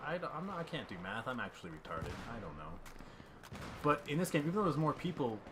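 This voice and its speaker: A man's voice: medium pitch, big pitch swings, fast talker, noisy background. A young man giving rambling, self-deprecating voice-over commentary on his gameplay while fighting off a cough. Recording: game gunfire clearly heard behind. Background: game gunfire and ambience.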